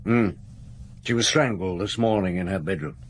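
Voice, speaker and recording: An actor playing a patient police chief inspector in a radio drama, asking measured, steady questions. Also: male voice, low-pitched, slight background noise, lightly acted. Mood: probing. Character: calm, probing male police chief inspector.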